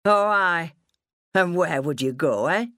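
Woman acting gruff farmer father, domineering, sarcastic, rustic dialect, audiobook character, close dry sound. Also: low-pitched, moderate pace, a bit bright, dry recording.

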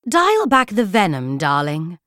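Woman as a sweet, high, genteel lady book. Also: lively intonation, no room sound, studio quality. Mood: placid reproof. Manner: sweet, gentle, placid, drawn-out endearments. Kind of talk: children's audiobook character voice.

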